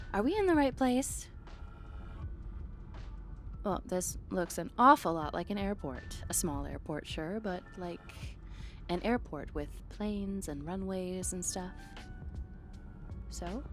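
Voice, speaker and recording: A woman's voice: fairly low pitch, expressive, takes it slowly, pauses often, big-room sound, soft background music. A woman playing a flirty, playfully sarcastic date companion in a one-sided ASMR roleplay, with dry, hesitant asides. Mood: curious, puzzled.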